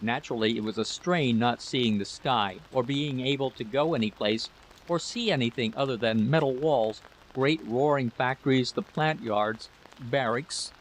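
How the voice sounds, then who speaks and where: A man's voice: mid-range voice, moderate pace, a little hiss or hum, rough recording. A man narrating a scripted story as a literary reader.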